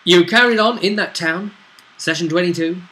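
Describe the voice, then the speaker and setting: A man's voice: high-pitched, moderate pace, bright, forceful tone, faint music behind, poor audio. A man chatting through a recap of a tabletop role-playing session as game master, in a bantering, conversational storytelling way. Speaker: game master and show host.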